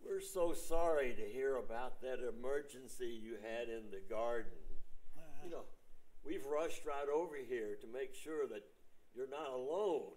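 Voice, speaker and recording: An older man playing a fussing, alarmist visiting relative in an amateur play reading, deliberate and insistent. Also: mid-range voice, darkish tone, lightly acted, poor audio. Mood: overly sympathetic.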